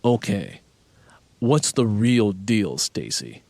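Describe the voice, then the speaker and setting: Man playing a teasing, amorous husband, animated. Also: on the low side, slow pace, vocal fry, no room sound, voice pushed down, full character voice. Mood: suspicious. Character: adult husband, amorous, teasing, content, wary of change. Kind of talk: audiobook character dialogue.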